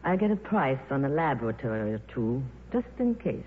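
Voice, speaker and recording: A worldly female film star, wry and breezy but soft at heart, her intonation rising and falling widely. The 1950s radio drama recording is thin and narrow, with faint noise. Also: rumbling low, big pitch swings, a little fast. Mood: wistful. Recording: tinny, noisy old radio broadcast.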